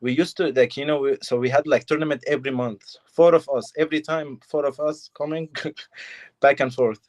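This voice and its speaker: A young man from Syria, answering interview questions in short, halting phrases of simple English. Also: medium pitch, fairly even intonation, a little mellow. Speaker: fighter, guest.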